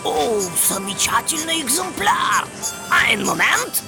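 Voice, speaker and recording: Cartoon: fussy German-accented penguin inventor, high and swooping. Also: male voice, sing-song, slow, music in the background, full character voice. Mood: explaining. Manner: chirpy, lecturing, mixes in foreign words. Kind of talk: cartoon voice acting.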